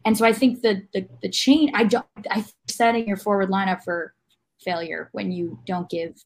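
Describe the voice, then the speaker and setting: A woman's voice: mid-range voice, fairly even intonation, normal speed. A woman on a sports podcast panel giving casual, thoughtful analysis in an explanatory way. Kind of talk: casual analytical soccer discussion.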